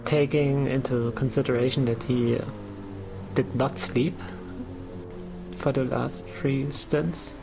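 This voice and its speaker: Gravelly, flat-voiced male co-commentator. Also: medium pitch, music in the background, low-quality recording. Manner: measured, hedging, with fillers. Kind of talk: live race commentary.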